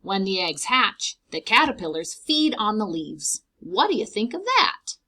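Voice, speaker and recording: A woman voicing a kindly, eager man who loves butterflies in a storybook, speaking with animated emphasis. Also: medium pitch, measured pace, heavily acted. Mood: eager, curious. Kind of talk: storybook character read aloud.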